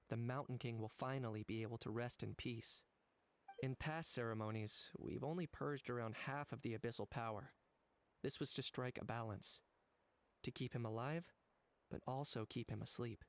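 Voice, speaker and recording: A man's voice: mid-range voice, moderate pace, no echo, close to an everyday voice. A man voicing a cool, blunt young warrior in a video game, speaking in a direct, unruffled, matter-of-fact way. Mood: grave, explanatory. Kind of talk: video game character dialogue.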